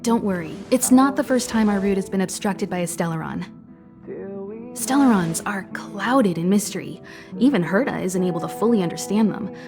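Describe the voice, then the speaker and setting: A woman's voice: mid-range voice, moderate pace, over music, natural voice. A woman playing a composed, caring crew leader in a video game, speaking articulately and reassuringly. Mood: reassuring, explanatory. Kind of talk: game cutscene exposition.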